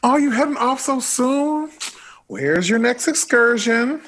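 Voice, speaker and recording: A woman's voice: on the high side, lively intonation, a little slow. A woman playing a nervous, wry young aspiring singer, someone's son, in a natural, casual audio drama performance.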